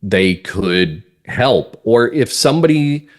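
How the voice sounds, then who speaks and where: A man's voice: fairly low pitch, expressive, takes it slowly. A man speaking as an interview guest, explaining things earnestly and emphatically in a conversational way. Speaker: guest playwright.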